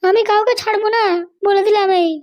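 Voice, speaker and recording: Woman playing bossy, indignant cartoon lady politician, tiny childlike voice, extremely high, rapid scolding delivery. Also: little pitch movement, a little mellow. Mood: vengeful. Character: haughty, threatening female political boss held in jail. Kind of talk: Bengali comedy cartoon dialogue.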